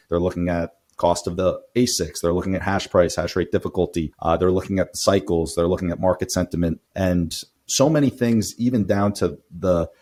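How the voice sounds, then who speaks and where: A male interview host with a notably low voice. He asks long, loose questions in a relaxed, talkative manner. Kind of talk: conversational interviewing.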